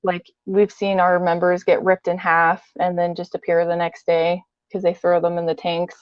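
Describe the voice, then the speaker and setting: A woman's voice: lowish voice, completely flat delivery, normal speed, smooth, dry recording, highs cut off. A woman giving a casual, matter-of-fact personal account as an interview guest.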